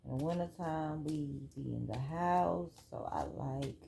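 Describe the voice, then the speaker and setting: A low-pitched woman with a gravelly, mellow voice, talking slowly and easily. She is reading spiritual cards aloud in a casual home vlog. A faint hiss of room noise sits under her voice. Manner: relaxed, chatty, reads cards aloud. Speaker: spiritual card reader.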